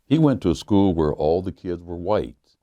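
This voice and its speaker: A man who is a pastor, reading a children's picture book aloud to young listeners in a measured, earnest way. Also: low-pitched, expressive, warm tone, no room sound, dull audio.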